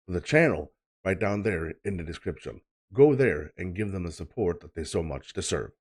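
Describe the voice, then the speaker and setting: Chatty Swedish reaction host, low voice. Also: male voice, darkish tone. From Sweden. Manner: chatty, loose, filler-heavy, repeats "of course". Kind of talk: casual reaction commentary.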